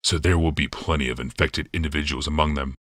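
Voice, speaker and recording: Man playing a very deep, muttering cold schemer. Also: steady tone, a bit bright, no room sound, studio quality. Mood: cool, calculating. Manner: muttering to himself, placid, measured. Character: cold, calculating male villain, serenely scheming. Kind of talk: audiobook character voice.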